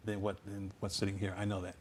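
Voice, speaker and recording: A man's voice: lowish voice, completely flat delivery, fast talker, slight background noise. Man, co-host, casual, friendly, repetitive. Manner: affable, repeats himself, agrees often. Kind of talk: casual interview chat.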